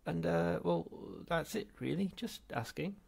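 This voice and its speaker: A bumbling, apologetic male space traveller, acted out by a male narrator, hesitant and nervous. The voice is pushed higher and falters its way through a comic audiobook. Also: a little slow, gravelly, a little mellow, breathy edge, heavily acted. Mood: nervous, sheepish.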